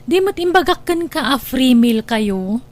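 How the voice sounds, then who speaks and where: High-pitched generous lady boss, acted by a woman. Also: animated, a little slow. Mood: curious, generous. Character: generous, easygoing female restaurant boss. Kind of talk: Ilocano radio drama acting.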